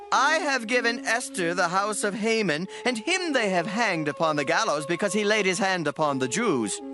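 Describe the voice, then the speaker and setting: A stern, commanding king issues slow decrees with a lively, swinging pitch, voiced by a woman narrator. Background music runs clearly under the dramatized reading.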